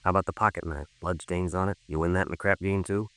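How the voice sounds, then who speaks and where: An actor playing a terse, dogged police sergeant in a 1950s radio crime drama, speaking in a clipped, matter-of-fact way. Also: male voice, low-pitched, fairly even intonation, quick pace, fairly bright tone, no room sound. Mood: dry, pressing. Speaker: detective narrator.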